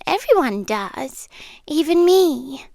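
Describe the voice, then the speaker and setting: A British woman playing a possessive, unsettling yandere girlfriend in an ASMR roleplay, softly and breathily, often slipping into a whisper. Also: sits high, very animated, vocal fry, no room sound, full character voice. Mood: softly eerie. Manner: slow, deliberate, lingering pauses. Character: possessive, unsettling yandere demi-human girlfriend, tender yet menacing. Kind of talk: ASMR roleplay, slow intimate delivery. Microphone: close microphone, dry.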